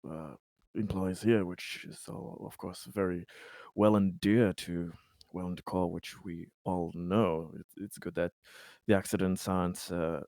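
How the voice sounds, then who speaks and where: Deliberate low-voiced man, slow and dry. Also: steady tone, warm tone, no echo. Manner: deliberate, formal, hesitant with repeated phrases. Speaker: tabletop roleplayer. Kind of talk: improvised in-character roleplay dialogue.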